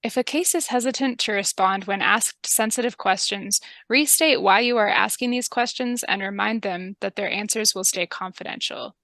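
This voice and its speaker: Bright-voiced calm professional woman. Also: medium pitch, normal speed, few pauses, no echo. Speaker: narrator and public health interviewer. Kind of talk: scripted training narration.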